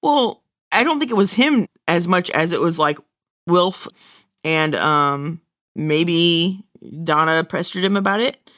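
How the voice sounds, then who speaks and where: A podcast co-host speaks in a medium-pitched voice with a wry, joking manner. The talk is informal fan chat. It sounds dry, with very little of the room in it. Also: animated, takes it slowly, a bit bright, no echo. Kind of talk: casual fan discussion chat.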